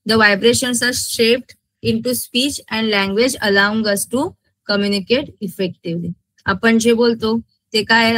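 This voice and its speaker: A woman with a Maharashtrian accent teaches a school science class in a bright, crisp-edged voice. Her pitch sits fairly high and the sound is dry. She explains step by step, throwing out short questions to check understanding. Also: dry recording, rough recording. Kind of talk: interactive classroom lecture mixing Marathi and English terms.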